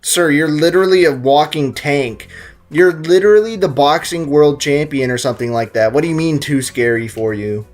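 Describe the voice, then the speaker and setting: A man's voice: on the high side, normal speed. Brief: young male let's-player, loose rambling jokes, faint music bed. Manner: joking, rambling, excitable. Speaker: gaming YouTuber. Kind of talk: casual let's-play commentary. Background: game music.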